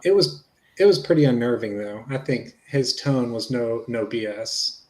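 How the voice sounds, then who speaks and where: Guy co-hosting a stream, average pitch, just chatting casually. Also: lively intonation, moderate pace, darkish tone, rough recording. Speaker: livestream co-host. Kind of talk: casual chat.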